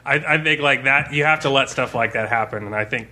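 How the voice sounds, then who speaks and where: A man talking casually as a game master on a podcast panel, in an informal, halting way. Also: medium pitch, bright, forceful tone. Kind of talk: casual chat about running games.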